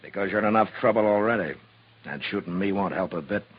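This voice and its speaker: Very deep-voiced frontier marshal. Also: male voice, quick pace, husky. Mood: firm, unyielding. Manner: terse, firm, commanding. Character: steady, authoritative frontier marshal, a grown man. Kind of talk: 1950s radio Western acting. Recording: muffled, hissy 1950s radio recording.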